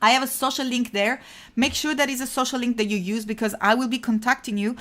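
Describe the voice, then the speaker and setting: An Italian woman hosts a live design stream, chatting easily with her viewers. Her voice sits a little high, and her speech is loose, with frequent fillers as she greets people in the chat. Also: steady tone, fast talker. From Italy. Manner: chatty, warm toward viewers, many uh fillers. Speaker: livestream design instructor. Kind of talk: casual live tutorial chat.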